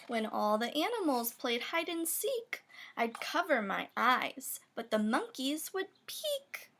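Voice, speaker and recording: High, expressive woman reading a children's rhyme. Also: slow pace. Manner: expressive, playful emphasis on sound words. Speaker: storybook reader. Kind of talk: children's picture book read-aloud, rhyming verse.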